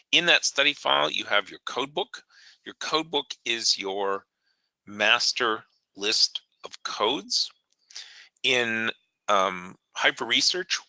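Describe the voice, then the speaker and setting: Hoarse man with a deep, dry voice, talking haltingly through a software tutorial. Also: no room sound, low-quality recording. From New England, United States. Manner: halting, many fillers, clears throat. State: hoarse, gravelly voice. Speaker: software trainer hosting a webinar. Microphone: online meeting audio.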